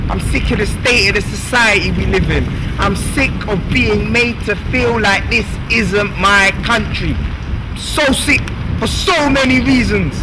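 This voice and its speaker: Young man, high voice, passionate public address with heavy background noise. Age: young adult. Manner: rhetorical, repetitive, rising urgency. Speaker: youth speaker giving a speech. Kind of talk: rousing speech to a crowd.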